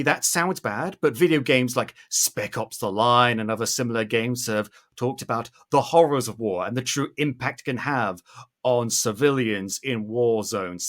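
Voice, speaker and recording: A British man in his thirties giving animated, opinionated podcast commentary with theatrical emphasis. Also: mid-range voice. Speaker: podcast host.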